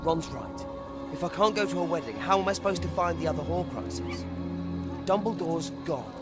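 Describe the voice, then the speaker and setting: A man's voice: fairly high pitch, animated, normal speed, natural voice. A man playing a brooding, determined teenage wizard hero in a video game. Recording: loud game music behind.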